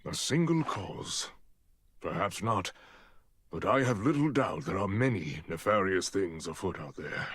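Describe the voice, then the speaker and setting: An actor playing a stern, authoritative older Spartan commander, speaking formally and deliberately in a video game drama. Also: male voice, sits low, lively intonation, fairly bright tone, breathy edge, no room sound, heavily acted. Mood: measured, grave.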